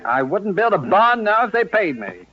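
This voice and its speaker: A man's voice: high-pitched, very animated, moderate pace. A man playing a stubborn, exasperated husband and homeowner in an old-time radio comedy, indignant and flustered in a clipped delivery. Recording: dull, band-limited vintage radio recording.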